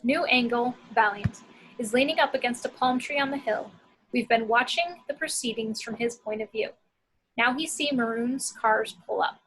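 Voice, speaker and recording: Bright, high-voiced woman narrating a script. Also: normal speed, slight background noise, poor audio. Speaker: action-description reader.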